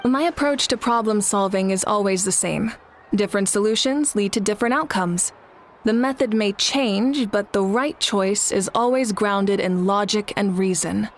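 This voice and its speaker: A woman voicing a composed, logical and compassionate female leader in a video game, speaking in a measured, matter-of-fact way. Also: medium pitch, a little hiss or hum, natural voice. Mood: calm, reflective. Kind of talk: scripted video game voice acting. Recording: music behind the voice.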